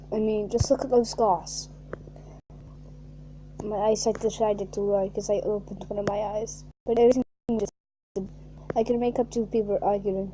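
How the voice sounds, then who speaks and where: A woman reading a fan fiction aloud in a mocking way, reading flatly and then bursting into shrieking, laughing asides. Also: mid-range voice, a little hiss or hum, poor audio.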